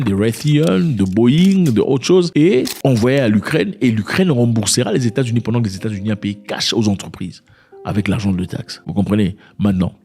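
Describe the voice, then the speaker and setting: A man's voice: mid-range voice, a little mellow. Man giving casual political commentary. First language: not French. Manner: repeats and restarts words, addresses viewers directly. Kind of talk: casual explanatory commentary to viewers.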